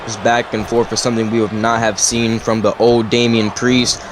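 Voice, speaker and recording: A man giving wrestling color commentary, moving between steady analysis and shouted disbelief. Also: fairly low pitch, normal speed. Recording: loud crowd and noise behind.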